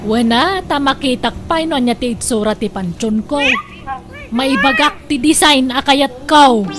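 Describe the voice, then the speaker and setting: A woman's voice: high-pitched, animated, a little slow. A woman playing a bossy, insistent older sister in a comedic radio drama, with a Filipino accent. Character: bossy older sister planning siblings' graves.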